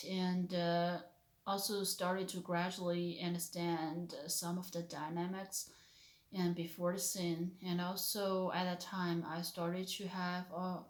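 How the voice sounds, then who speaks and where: A woman in her thirties from China, speaking English with a Chinese accent as a non-native speaker, giving an earnest personal faith testimony in a halting way, searching for words. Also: on the low side, reverberant. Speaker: vlogger, stay-at-home mother.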